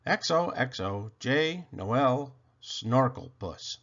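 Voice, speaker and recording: A man's voice: medium pitch, expressive, slow, fairly bright tone, heavily acted. A man voicing a hopeful, earnest little girl in a storybook reading. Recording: dull lo-fi recording.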